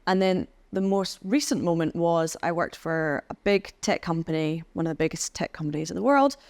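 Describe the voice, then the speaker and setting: A woman from the UK, a podcast guest, speaking thoughtfully with frequent pauses and restarts. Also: fairly low pitch, a little mellow, no echo. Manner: thoughtful, hesitant with many ums and restarts. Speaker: podcast guest, head of communications. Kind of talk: casual interview chat.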